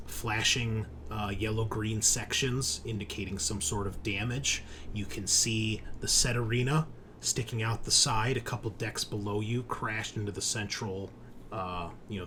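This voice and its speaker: Male game master, lowish voice, improvised sci-fi horror narration, conversational and descriptive, slight background noise. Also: sharp tone. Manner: casual, explanatory, descriptive.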